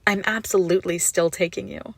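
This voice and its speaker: A woman playing an obsessive, gushing yandere kidnapper in a roleplay audio drama, menacingly sweet, with breathy, doting coos. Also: mid-range voice, steady tone, a little fast, no echo. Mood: lovestruck, possessive. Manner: breathy doting coos, often dropping to whispers. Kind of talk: roleplay audio drama, intimate monologue to listener.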